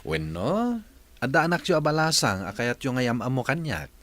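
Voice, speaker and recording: A man's voice: medium pitch, sing-song, moderate pace, a bit bright. An actor playing an earnest, polite young man in a radio drama, speaking with an Ilocano accent. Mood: teasing, friendly. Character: earnest young man, respectful boss seeking his mother. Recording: radio drama with noticeable background noise.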